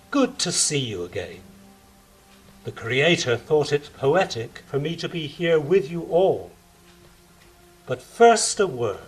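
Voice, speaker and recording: A gentle, courteous man of the world's beginning , as acted by a man. He speaks slowly and kindly in an audio drama, with music clearly behind him. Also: a little high.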